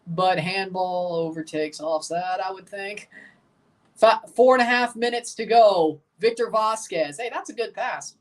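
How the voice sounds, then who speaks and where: A young man with a low voice hosting a livestream, giving blunt, opinionated hot takes while reacting to a soccer match and answering chat questions. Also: a little slow, far from the mic. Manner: excitable, jumps high in pitch when agitated.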